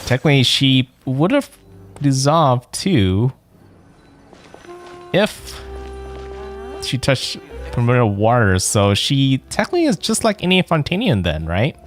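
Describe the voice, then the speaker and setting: A man's voice: mid-range voice, big pitch swings, a little slow, long pauses. A man giving casual let's play commentary as a streamer, musing in a rambling, questioning way. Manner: rambling, musing, often ends on a questioning "right?". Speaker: streamer playing a game. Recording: game music behind the voice.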